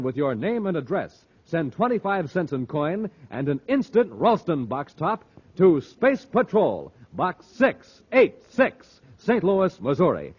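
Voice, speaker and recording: An American man announcing a 1950s radio show in an enthusiastic, emphatic sales-pitch style. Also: husky. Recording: dull vintage radio transcription.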